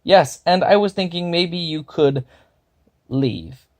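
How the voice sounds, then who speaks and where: A man's voice: takes it slowly, a little mellow. Brief: a man playing a jittery young hero, raised voice, stammering, for a storybook. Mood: nervous. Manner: stammering, flustered, higher-pitched. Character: nervous young male would-be hero.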